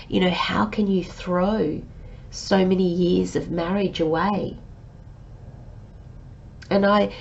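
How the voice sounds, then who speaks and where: A woman giving a tarot reading to her listeners, talking in a slow, reflective and gently encouraging way while she has a cold. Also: on the low side, gravelly, dull audio, slight background noise. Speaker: tarot reader.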